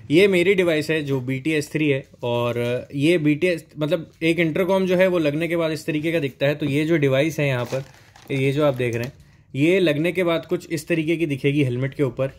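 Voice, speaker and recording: A young man doing a casual, explanatory unboxing narration, mixing English words into his speech. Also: a little high. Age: young adult. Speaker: product reviewer at a riding gear store. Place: indoors in a helmet and riding gear store. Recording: noticeable background noise, store ambience.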